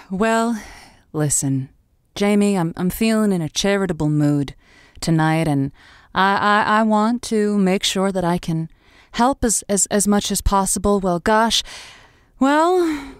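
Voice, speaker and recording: A woman playing a playful, soft-hearted late-night radio call-in host with a coy, halting manner. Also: mid-range voice, expressive, clear, resonant tone, dry recording, clean studio sound, close to an everyday voice. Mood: gentle, halting. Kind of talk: improvised audio drama, late-night radio call-in show.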